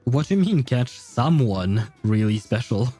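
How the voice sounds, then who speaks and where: A man's voice: medium pitch, expressive, unhurried, music in the background. A young man casually commenting on his gameplay, chatty, laughing often and swearing. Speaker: game streamer.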